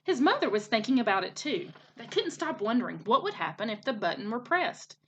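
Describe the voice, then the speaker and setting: A woman reading a children's story aloud as a teacher would, in an expressive storytelling style. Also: a little high, fairly quick, a little hiss or hum. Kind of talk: animated children's storybook narration.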